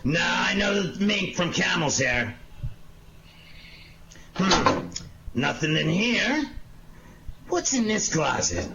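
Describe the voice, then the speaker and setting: A man playing a suspicious, sardonic police inspector in a 1940s-style radio detective drama, gruff and accusing. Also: high-pitched, very animated, takes it slowly, pauses often, heavily acted. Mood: suspicious, searching. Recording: dull, noisy lo-fi audio with clicks.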